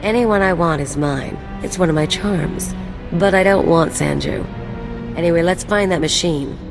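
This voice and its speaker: An actress playing a sly, sardonic mercenary companion who teases and mocks. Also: female voice, on the low side, normal speed, vocal fry, over music. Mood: teasing. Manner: teasing, sardonic. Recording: game cutscene with loud score.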